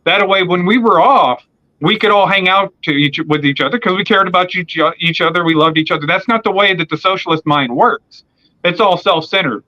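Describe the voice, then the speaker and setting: A man's voice: high voice, fairly quick, pushed and bright, lo-fi sound. A man in his forties hosting a casual conversation, rambling with lots of fillers and restarts. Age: 40s. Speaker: podcast host.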